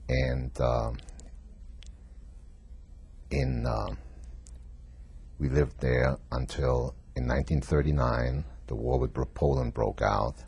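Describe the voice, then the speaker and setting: An older man from Germany recounts his memories in a recorded oral history interview, pausing often as he reflects. His voice sits extremely low, with a rough, gravelly texture and a husky, breathy edge. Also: animated, a little mellow. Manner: halting, reflective storytelling with frequent pauses. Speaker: Holocaust survivor giving testimony.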